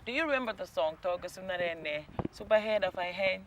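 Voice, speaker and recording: A woman's voice: medium pitch, expressive, brisk, very noisy. A young woman hosting a casual outdoor interview show, upbeat and laughing often. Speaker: talk show host. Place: outdoors in a sunny garden by palm trees.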